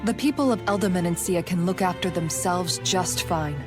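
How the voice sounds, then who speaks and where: A woman's voice: fairly low pitch, normal speed, close to an everyday voice. An actress playing a composed, practical young woman in a game, plain-spoken and reassuring. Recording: prominent background music.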